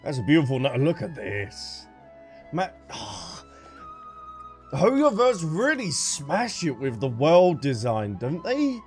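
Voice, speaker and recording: Chatty male gamer, very lively pitch. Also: takes it slowly, soft background music. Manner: reactive, exclamatory, chatty. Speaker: gaming content creator. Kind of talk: casual playthrough commentary. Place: game audio and music behind voice.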